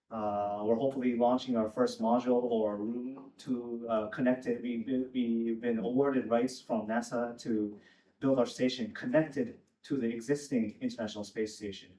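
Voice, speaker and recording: A man's voice: medium pitch, a little mellow. A Japanese man introducing himself on a panel, talking in a friendly way with many fillers and the odd laugh. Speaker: panelist, sales manager. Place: event space with audience. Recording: roomy event space, distant mic.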